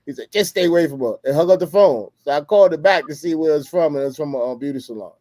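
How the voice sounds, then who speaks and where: An American man with a mellow, relaxed timbre, recorded very dry. He co-hosts a casual talk about relationships, swapping anecdotes in street slang with a jokey, boastful edge. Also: fairly high pitch, quick pace, no room sound. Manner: slangy, jokingly boastful, frequent "you know what I'm saying". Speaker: podcast co-host.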